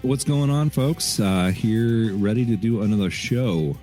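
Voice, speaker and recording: Very deep-voiced man co-hosting a podcast. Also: unhurried, soft background music. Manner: relaxed, rambling, restarts a lot. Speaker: podcast co-host. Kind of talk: casual chat.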